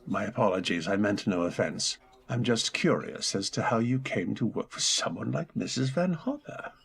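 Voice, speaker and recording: A man playing a melancholy, reserved gentleman with formal, polite manners in a radio drama. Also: on the low side, lively intonation, moderate pace, creaky. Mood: curious, charmed. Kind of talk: old-time radio drama acting.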